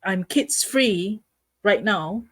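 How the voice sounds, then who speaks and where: Unhurried Malaysian woman, dry close sound. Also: medium pitch, expressive, dry recording. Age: middle-aged. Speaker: guest, stay-at-home wife. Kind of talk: casual self-introduction.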